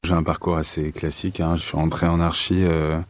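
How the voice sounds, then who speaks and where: A man's voice: very deep voice, flat delivery, fairly quick, no echo. A French man from Paris giving casual, understated and hesitant answers as an interview guest. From Paris, France.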